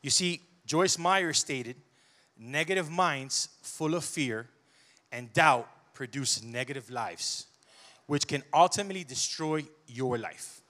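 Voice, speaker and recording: A man in his forties delivers a church sermon into a handheld microphone. His voice is bright and forceful, and he is set a little high for a man. His delivery is conversational and animated, full of personal asides. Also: slow pace. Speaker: preacher. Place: church stage. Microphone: handheld mic.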